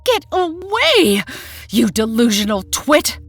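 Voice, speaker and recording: Woman playing heartless mother, scornful commands, lively swooping pitch, radio horror, roomy echo. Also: takes it slowly, soft background music, full character voice. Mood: outraged. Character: heartless mother, disgusted by her own son. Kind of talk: old-time radio horror acting.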